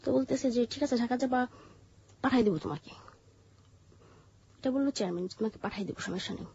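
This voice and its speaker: Bangladeshi female interview guest, high-pitched husky voice, halting personal narration, dull lo-fi sound. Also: darkish tone, a little hiss or hum. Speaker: interview guest telling her life story.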